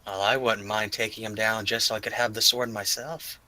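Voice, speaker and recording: A man's voice: fairly low pitch, level tone, normal speed, bright, forceful tone. A man voicing a confident, plain-spoken young fighter hungry for battle in a tabletop game. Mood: confident, curious.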